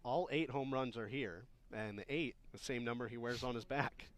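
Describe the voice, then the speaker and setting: A man's voice: mid-range voice, normal speed, a little hiss or hum. A man giving conversational, analytical baseball commentary for a radio broadcast, explaining the game as a color commentator.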